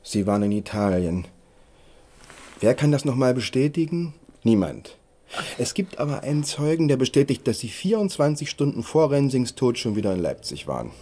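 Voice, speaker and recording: An actor playing a dry, smug, relentless police detective in a German radio crime drama, with an accusing, wry, deliberate delivery. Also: male voice, mid-range voice, very animated, dark tone. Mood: cool, accusing.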